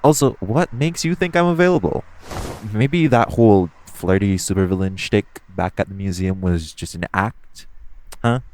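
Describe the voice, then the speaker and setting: A man playing a flirty, teasing, self-assured supervillain thief in an audio roleplay, speaking casually with halting, conversational pauses. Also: lowish voice, a little mellow. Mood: incredulous, teasing. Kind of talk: intimate second-person audio roleplay, conversational acting.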